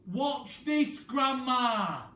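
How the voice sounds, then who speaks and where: A head teacher voicing an eager, excitable young boy who shouts and coaxes. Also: mid-range voice, takes it slowly, slight background noise, voice pushed up. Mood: coaxing. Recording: roomy sound from a distant mic.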